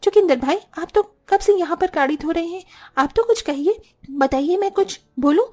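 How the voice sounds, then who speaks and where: A woman's voice: very high-pitched, expressive, normal speed, warm tone. A woman voicing a defensive, quarrelsome young housewife in a cartoon story, with a complaining, argumentative manner.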